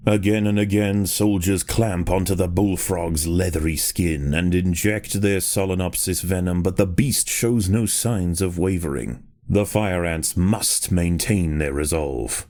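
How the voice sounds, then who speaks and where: A man narrating a scripted nature documentary in a measured, solemn way with precise enunciation. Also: deep voice, warm tone, no echo, clean studio sound.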